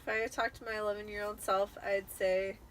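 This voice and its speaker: A woman's voice: medium pitch, level tone, brisk, a bit bright. A tipsy woman in her thirties chatting casually as she hosts her own book reading. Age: 30s. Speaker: host reading a book aloud. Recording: clearly noisy room ambience.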